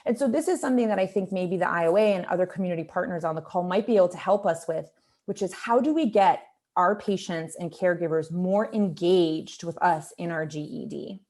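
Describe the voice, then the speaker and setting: A woman physician whose first language is Lithuanian speaks on a video call in a slightly low voice. She is earnest and strings her questions together, often restarting mid-sentence. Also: a little fast, a little mellow. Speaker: emergency physician presenting for a hospital team. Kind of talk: panel presentation on a video call.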